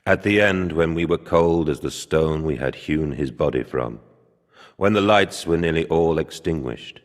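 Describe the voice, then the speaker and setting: A male actor playing a tormented, guilt-ridden father in a video game, gravelly and husky, with a heavy, mournful, deliberate delivery. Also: very deep voice. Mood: somber. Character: guilt-ridden grieving father, tormented creator, grimly resolute. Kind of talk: dramatic video game acting. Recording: long hall reverb.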